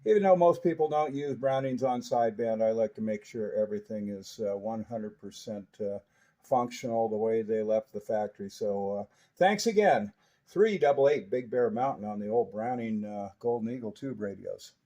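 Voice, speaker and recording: An older American man with a mellow, dark voice chats in a folksy way as a CB radio operator. He speaks in casual check-ins full of radio lingo. The sound is slightly dull and lo-fi. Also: fairly low pitch, moderate pace. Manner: folksy, chatty, jargon-filled. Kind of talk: casual radio check-in chat using CB jargon. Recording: dull, lo-fi audio.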